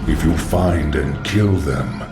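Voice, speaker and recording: An actor playing a cold, scheming undead lich sorcerer in a video game, speaking in a measured, solemn, hollow way. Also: male voice, very deep voice. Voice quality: echoing. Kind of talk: video game cutscene acting. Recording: echoey and distant over loud music.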